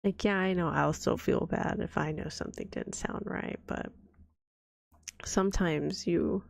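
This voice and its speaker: A sick woman streamer talks in a low, deep, gravelly rasp, her voice hoarse and scratchy from a cold. She rambles in a soft, giggly way with frequent coughs. The sound is close and dry, with no room around it. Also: steady tone, unhurried, no echo. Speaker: vtuber streamer. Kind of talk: soft-spoken casual stream chat.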